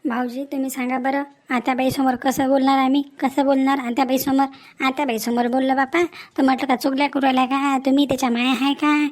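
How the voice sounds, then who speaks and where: A woman's voice: high voice, lightly acted. A woman playing a worried, practical young woman in a cartoon family drama, with an earnest, chatty manner. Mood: worried, complaining.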